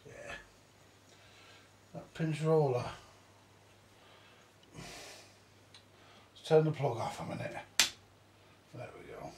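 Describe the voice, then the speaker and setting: British man, gravelly, muttering in a roomy space. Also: a little high, sing-song, very slow, long pauses, noisy background. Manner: muttering to himself, swearing mildly. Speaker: vintage audio repairer. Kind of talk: casual hands-on repair commentary. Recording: roomy echo, distant mic.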